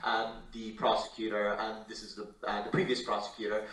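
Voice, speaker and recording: A man speaking as a legal panelist, giving careful, measured and formal explanations with halting stretches. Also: fairly low pitch, completely flat delivery, a bit bright. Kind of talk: explanatory panel remarks on international law. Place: indoor conference room. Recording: roomy echoing hall, distant mic.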